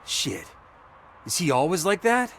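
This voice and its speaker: An actor playing a cocky, jittery young street basketball player in a video game, slangy and mouthy. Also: male voice, fairly high pitch, huge pitch swings, unhurried, a bit bright, a little hiss or hum, exaggerated voice. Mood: nervous, backing down.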